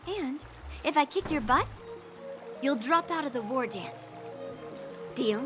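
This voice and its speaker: High-voiced cocky young swordswoman. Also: animated, slow. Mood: defiant. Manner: blunt, cocky. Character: brash, competitive young female sword prodigy. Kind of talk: anime game voice acting. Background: music.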